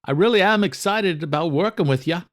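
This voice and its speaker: An eager young man in an audio thriller, acted out by a male narrator, pitched high and a bit breathless. He is anxious and very polite, pushing keenly to please. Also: animated, a little fast.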